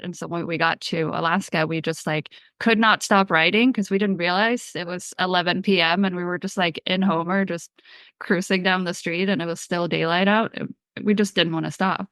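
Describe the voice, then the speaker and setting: A woman from Sweden hosting a podcast interview, asking relaxed, chatty questions with a lot of "like". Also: fairly low pitch, a little fast, no echo. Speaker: podcast host. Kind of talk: casual interview.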